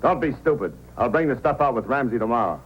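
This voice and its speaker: An actor playing a hard, scheming gang boss with a curt, domineering manner in an old-time radio drama. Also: male voice, medium pitch, animated, fast, natural voice. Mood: curt, impatient. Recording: faintly noisy old radio recording.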